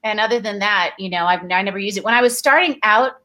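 A woman's voice: mid-range voice, fast, bright, forceful tone, a little hiss or hum. A middle-aged woman from Tanzania, an actress, chatting in an interview with frequent laughs and restarts. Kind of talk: casual interview chat.

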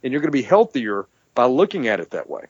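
A man's voice: medium pitch, very animated, fast talker, slightly rough, no echo, highs cut off. A man speaking as a strength coach and podcast guest in casual, rambling interview talk peppered with "you know".

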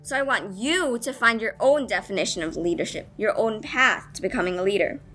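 A woman's voice: medium pitch, expressive, brisk, pushed and bright. A female student earnestly reading a prepared speech aloud, addressing listeners with rhetorical questions. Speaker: student reading own essay.

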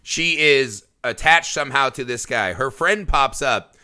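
A man hosting a casual film podcast, excitable and quick to laugh, with frequent restarts. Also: medium pitch. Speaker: podcast host.

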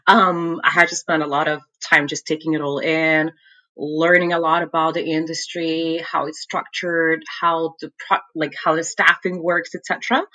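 A woman's voice: low-pitched, sharp tone. A woman from Lithuania with a Lithuanian accent, answering interview questions thoughtfully with many fillers like "um" and "like". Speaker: guest, MBA graduate and consultant.

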